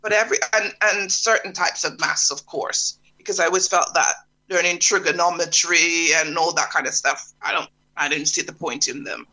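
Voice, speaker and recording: Bright-voiced woman from Jamaica. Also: on the low side, normal speed, rough recording. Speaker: interview guest. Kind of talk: relaxed conversational interview.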